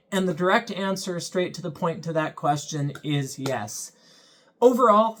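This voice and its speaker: A product reviewer talking through a handheld gadget in a measured, explanatory way, pausing now and then to think. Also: medium pitch, a bit bright.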